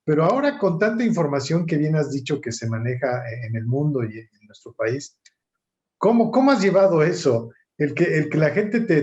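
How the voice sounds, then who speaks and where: A man's voice: a little high, a little mellow. A man, an interviewer asking long, rambling questions with fillers. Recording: dull lo-fi recording.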